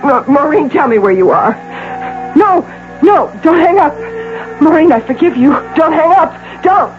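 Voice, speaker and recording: Through a tinny, hissy radio recording comes an intense American executive woman in a radio drama. Her voice is high and her delivery slow and theatrical. She is imperious, with an obsessive maternal devotion. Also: animated, soft background music. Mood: desperate pleading. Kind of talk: 1980 radio drama acting. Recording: tinny, hissy old radio broadcast with hum.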